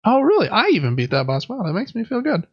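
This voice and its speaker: A man's voice: high voice, wildly swooping pitch, fast talker, dry recording, lo-fi sound. A man co-hosting a casual gaming podcast, talking informally and musing as he thinks aloud. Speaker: podcast co-host.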